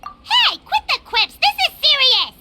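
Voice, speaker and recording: A woman voicing a tiny, excitable, fairy-like childish companion in a video game, squeaky and indignant. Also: measured pace, full character voice. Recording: loud music under the voice.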